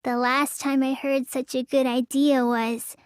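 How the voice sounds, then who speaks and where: A woman's voice: sits high, level tone, a little slow, clear, resonant tone, no room sound, studio quality. A woman voicing a sulky, lazy teenage girl in a video game, with a pouty drawl that stammers when flustered. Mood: flat, explaining. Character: sulky, lazy teenage girl who claims real magic. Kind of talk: English video game voice acting.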